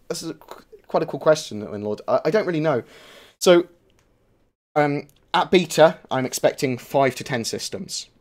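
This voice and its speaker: Young male streamer, casual and rambling, mid pitch, swears a lot, many ums. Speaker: streamer answering viewer questions. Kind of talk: casual live-stream chat.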